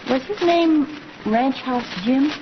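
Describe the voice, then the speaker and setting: An actress playing an earnest, proper young schoolteacher in an old-time radio western, speaking with dramatic feeling. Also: female voice, high voice, takes it slowly. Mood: inquiring. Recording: muffled, noisy old radio recording.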